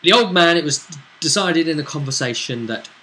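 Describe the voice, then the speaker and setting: A man's voice: fairly high pitch, expressive, brisk, pushed and bright, rough recording. A man casually recapping a tabletop role-play session as game master, rambling as he explains it.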